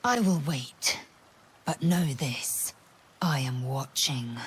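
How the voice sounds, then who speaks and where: An actress playing a fierce, proud alien warrior woman in a video game, with a husky, breathy rasp and clipped, menacing delivery. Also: a bass voice, takes it slowly, heavily acted. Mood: cold threat. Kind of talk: dramatic video game acting.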